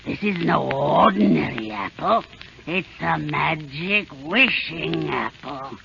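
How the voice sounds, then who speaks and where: A woman's voice: low-pitched, lively intonation, slow, exaggerated voice. A woman playing a wicked, scheming queen disguised as a sly, cackling old granny in an old-time radio drama. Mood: wheedling. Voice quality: creaky, cackling. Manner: sly, wheedling, theatrical. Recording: noisy muffled vintage radio recording.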